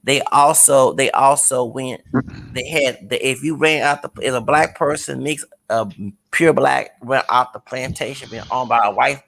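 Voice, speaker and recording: A man with a gravelly, creaky voice hosts a casual talk, giving long rambling explanations. He often stops and restarts with hesitations. His voice sits at an ordinary pitch. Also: normal speed, fairly bright tone, slight background noise. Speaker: show host. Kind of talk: casual conversation.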